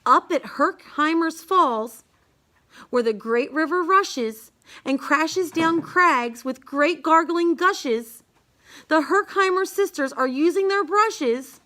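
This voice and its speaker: Female storybook reader, extremely high voice, unhurried singsong delivery of children's verse. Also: lively intonation, pushed and bright. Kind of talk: read-aloud of a rhyming children's picture book.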